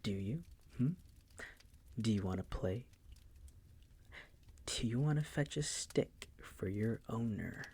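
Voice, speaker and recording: A man playing a possessive, coolly menacing vampire master in an intimate audio roleplay, teasing and condescending in a breathy, gravelly whisper. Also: fairly low pitch, expressive, dark tone, full character voice. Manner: slow, condescending, teasing, coaxing.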